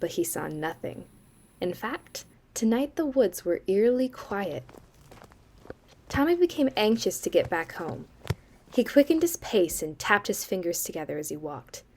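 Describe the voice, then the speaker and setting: A young woman acting as a sardonic, eerie campfire storyteller in a student audio drama, with dry, deliberate delivery. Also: mid-range voice, big pitch swings, a little mellow, slight background noise. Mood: ominous, measured. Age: young adult.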